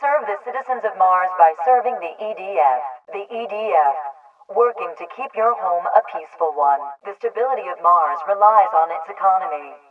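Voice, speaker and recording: An actress playing a calm, stern announcer for an authoritarian military colony in a video game, reading propaganda broadcasts in a formal, measured, impersonal manner through a loudspeaker filter. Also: female voice, mid-range voice. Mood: measured, promotional. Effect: loudspeaker radio filter. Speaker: public address announcer. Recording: muffled, band-limited distant loudspeaker broadcast.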